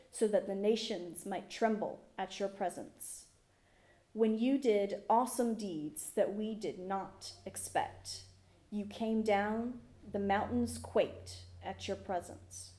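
A woman reading scripture and praying in church in a measured, reverent, deliberate manner. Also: mid-range voice, dark tone. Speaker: scripture reader at a church service. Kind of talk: solemn scripture reading and prayer. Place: indoors in a church.

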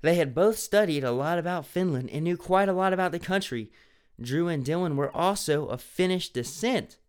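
A man's voice: fairly high pitch, lively intonation, moderate pace, a little mellow, clean tone. Sarcastic man reading a book aloud. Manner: sarcastic, laughing at the text. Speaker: reader of a children's mystery book. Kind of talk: mocking dramatic read-aloud with asides.

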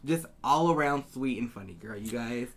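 A woman's voice: extremely low pitch, expressive, normal speed. A woman in her twenties from Downey with a Southern California accent, hosting a casual chat in a gushing, slangy way. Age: 20s. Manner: gushing, slangy, frequent "like" and "you guys". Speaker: podcast host.

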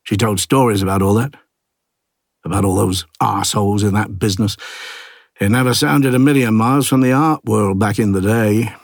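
A man narrating an audiobook as an ageing village artist, a plain-spoken, gruff and reflective loner, with a husky low voice and a wry, understated delivery. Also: moderate pace, no room sound, clean studio sound. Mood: fond, wry. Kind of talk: first-person literary audiobook narration.

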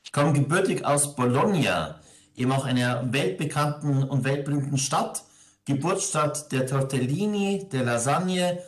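A man's voice: medium pitch, expressive, measured pace. Reflective man answering in an interview. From grew up in Ingolstadt, Germany.

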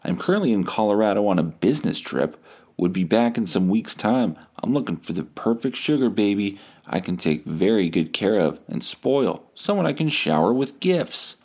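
A man's voice: lowish voice, big pitch swings, moderate pace, darkish tone. Man reading as a doting middle-aged sugar mommy. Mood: coaxing. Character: forty-nine-year-old woman, doting, possessive online sugar mommy.

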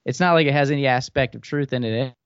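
A man's voice: medium pitch, quick pace, clear, resonant tone, no room sound. A man who grew up in Thailand, a podcast guest, talking politics casually and rambling with many restarts. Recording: dull lo-fi, dry sound.

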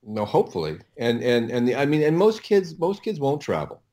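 A man talking casually as an interview guest, explaining things in a halting way and often repeating his words. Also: mid-range voice, big pitch swings, dark tone. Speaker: interview guest, audio producer.

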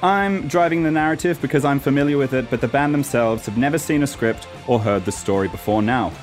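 A man's voice: mid-range voice, fairly quick, faint music behind. A man from Norway narrating a scripted radio play in a theatrical, storytelling way.

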